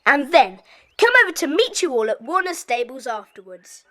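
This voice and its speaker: A schoolboy gang leader in a children's audio play. The voice is high and very lively, recorded close and dry. He is brisk, bossy and sure of his plans. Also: no room sound, soft background music. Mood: decisive. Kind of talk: children's audio drama acting.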